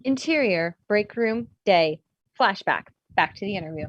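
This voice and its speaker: A woman's voice: medium pitch, animated, moderate pace, no room sound, lo-fi sound. A woman narrator reading screenplay stage directions in scripted narration.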